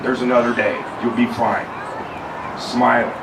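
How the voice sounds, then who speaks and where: Loud-voiced middle-aged man, blunt and rambling memorial speaker; distant mic, roomy echo. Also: medium pitch, little pitch movement, measured pace, vocal fry. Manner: rambling, blunt, swears freely. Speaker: eulogy speaker. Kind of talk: unscripted memorial tribute. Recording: echoey room, mic far off, loud noise.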